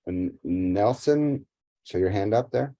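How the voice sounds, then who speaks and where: A man's voice: on the low side, animated, slow pace, warm tone, dry recording. A man teaching an interactive online class over a video call, prompting participants to share and ask questions. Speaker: instructor leading an online class.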